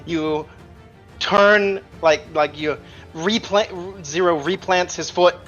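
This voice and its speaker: A man's voice: high-pitched, slow pace, a bit bright, highs cut off. A man working as game master, talking casually and profanely through rules and narrating the action. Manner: colloquial, profane. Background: background music.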